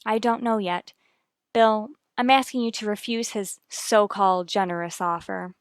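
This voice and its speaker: A woman playing a worried, firm mother with a measured, formal manner in a radio soap opera. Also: mid-range voice, steady tone, smooth, no echo, clean studio sound, lightly acted. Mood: insistent.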